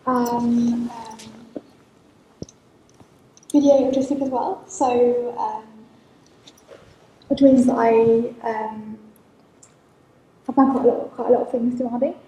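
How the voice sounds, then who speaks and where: Halting woman speaking from an echoey hall. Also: fairly high pitch, flat delivery, dark tone, a little hiss or hum. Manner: halting, many ums and pauses. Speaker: audience member. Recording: echoey hall mic from far off.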